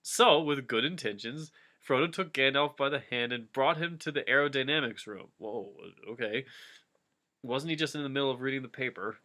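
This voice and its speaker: A man's voice: medium pitch, normal speed, pushed and bright. A man doing a comic dramatic reading with casual, jokey commentary, easily amused by the story. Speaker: reader and commentator.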